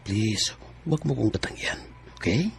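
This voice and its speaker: Deep-voiced, swooping rich suitor. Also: male voice, animated, moderate pace, gravelly, husky, full character voice. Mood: earnest, pleading. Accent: Filipino. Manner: coaxing, switches between English and Tagalog. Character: Henry, smooth, pushy, wealthy married older suitor. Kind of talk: radio drama acting.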